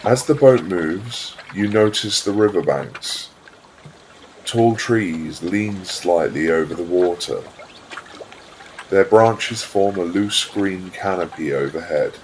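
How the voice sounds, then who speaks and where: Slow, very low-voiced man guiding meditation. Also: slight background noise, low-quality recording. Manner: calm, soothing, unhurried delivery with pauses. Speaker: guided visualisation narrator. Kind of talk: guided meditation, scripted narration.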